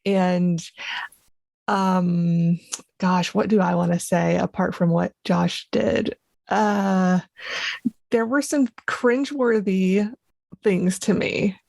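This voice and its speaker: A woman's voice: on the low side, steady tone, slow, creaky, darkish tone, no echo. A woman co-hosting a podcast, chatting casually and rambling with fillers. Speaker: podcast co-host.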